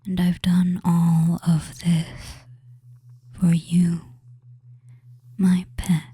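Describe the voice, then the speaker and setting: A person whispering a soothing hypnosis roleplay in ASMR style as a doting, controlling fantasy witch, with drawn-out phrases and pauses. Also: medium pitch, monotone, dark tone. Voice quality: breathy, mostly unvoiced whisper.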